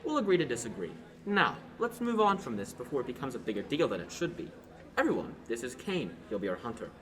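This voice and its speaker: A man's voice: mid-range voice, big pitch swings, quick pace, natural voice. A teenage student actor playing a scornful, bossy young nobleman in a radio play, sneering and dismissive. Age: teens. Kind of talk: amateur radio play acting. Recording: noisy amateur home recording.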